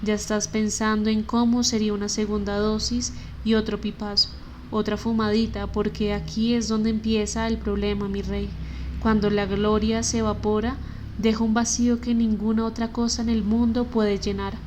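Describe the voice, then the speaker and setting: Woman, podcast audiobook narrator, flat even delivery, mid pitch. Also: slight background noise. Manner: steady, unhurried reading. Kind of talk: audiobook reading.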